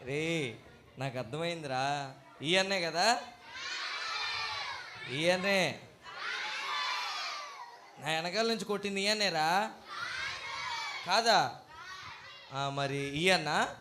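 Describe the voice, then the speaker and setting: A man's voice: on the high side, takes it slowly, long pauses. A man hosting a church children's puppet show, exclamatory and calling out questions to the children. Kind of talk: lively talk with children and a puppet. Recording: noisy live event with crowd. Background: children's crowd and loud noise.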